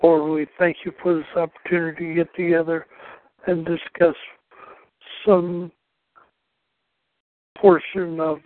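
A man's voice: fairly high pitch, little pitch movement, unhurried, pauses often, dry recording. A church elder, a man, leading a spoken prayer with earnest, repetitive phrasing. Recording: dry tinny phone line.

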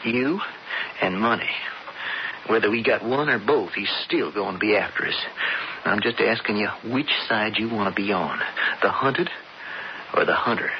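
A man's voice: fairly low pitch, close to an everyday voice. A muffled, very noisy old radio recording carries a man acting as a young cowboy outlaw. He is intense and persuasive, with a folksy frontier turn of phrase. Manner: folksy, drawn-out frontier talk. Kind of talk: radio western drama acting.

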